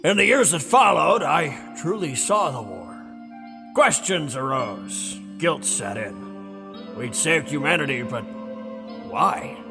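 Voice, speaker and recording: An actor in an audio drama playing a gruff old dragon-slaying veteran, defensive and blustery, with a gravelly, rambling delivery. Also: male voice, a little high, big pitch swings, slow pace, exaggerated voice. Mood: haunted, weary. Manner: blustery, rambling storytelling, stammers when challenged. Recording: reverberant hall with music behind.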